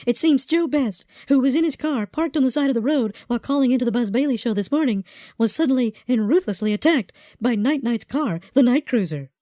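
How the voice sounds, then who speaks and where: A woman's voice: fairly high pitch, animated, a little fast, mellow, dry recording, clean studio sound, natural voice. A woman playing a composed local news anchor reading stories in a parody newscast. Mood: matter-of-fact.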